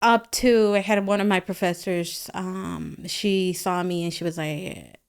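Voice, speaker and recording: A woman's voice: lowish voice, animated, measured pace, clear, resonant tone. A woman from Guatemala whose first language is Spanish, telling personal stories casually and in slangy, informal words as a podcast guest. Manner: informal, slangy, peppers talk with "like" and quoted dialogue.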